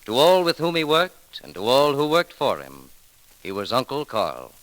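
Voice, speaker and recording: A man's voice: medium pitch, wildly swooping pitch, normal speed, fairly bright tone, no room sound, poor audio. An American man announcing a memorial program on 1930s radio with theatrical, reverent oratory, in an old broadcast recording.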